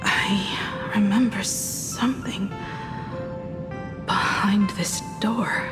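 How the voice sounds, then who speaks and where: An actress playing a composed, perceptive young woman in a video game, speaking in a measured, cool and serious way. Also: medium pitch, fairly even intonation, over music. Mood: pensive. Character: composed, perceptive young woman confronting her pretend father. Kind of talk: dramatic video game dialogue.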